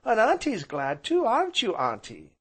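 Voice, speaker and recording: A man's voice: sits high, wildly swooping pitch, normal speed, fairly bright tone, husky, pitched above the performer's own voice, full character voice. A man voicing an eager, affectionate small boy in a storybook, with breathless, gushing, childlike speech. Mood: excited, happy. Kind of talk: audiobook character voice.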